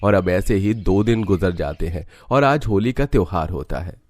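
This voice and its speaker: A man's voice: low-pitched, normal speed, darkish tone. A man reading scripted storytelling narration for a cartoon tale.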